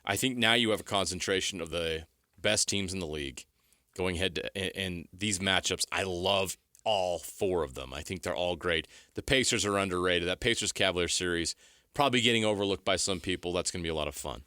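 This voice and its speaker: An American male sports radio co-host with a slightly low but bright, forceful voice. His pitch moves a lot as he lays out his analysis in emphatic, punchy statements and questions. It sounds dry, like a studio recording. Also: brisk, clear, resonant tone, no echo.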